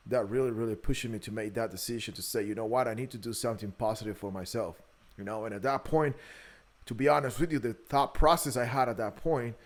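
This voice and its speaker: A man's voice: mid-range voice, brisk. Man with a Guatemalan Spanish accent. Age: early thirties. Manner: candid, hesitant with frequent repeated words. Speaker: podcast guest, entrepreneur. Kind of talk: conversational interview, personal storytelling.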